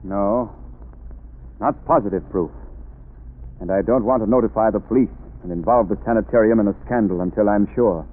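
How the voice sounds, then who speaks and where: A man's voice: fairly low pitch, moderate pace, natural voice. A man playing a grave, determined doctor. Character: earnest, determined senior doctor. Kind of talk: 1930s radio drama acting. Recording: tinny old radio broadcast.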